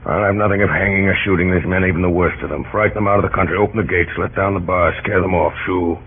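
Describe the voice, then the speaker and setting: An actor playing a grave, humane, weary middle-aged president in an old-time radio drama, speaking in a measured, solemn, plain way with a husky voice. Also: male voice, deep voice, steady tone. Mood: firm. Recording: muffled, tinny old radio recording.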